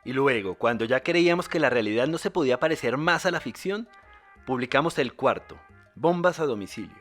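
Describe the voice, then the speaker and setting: A man's voice: medium pitch, animated, a little fast, fairly bright tone, faint music behind. Colombian man narrating a scripted podcast. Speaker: podcast host.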